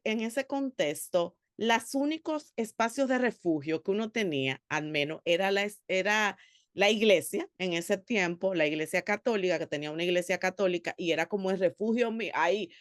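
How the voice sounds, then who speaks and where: A woman tells her story to a panel. She talks earnestly and with animation, pausing often on "eh". Her voice sits at a middle pitch and sounds close and dry. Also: no room sound. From Dominican Republic. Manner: earnest and animated, with frequent hesitations. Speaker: panelist, theologian and psychologist. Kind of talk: personal testimony at a panel.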